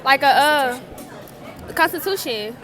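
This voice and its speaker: A teenage girl with a high, bright voice answers questions. She sounds hesitant and flippant, with some people chattering behind her. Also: expressive, takes it slowly. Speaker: interviewee. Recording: chattering public place. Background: crowd chatter.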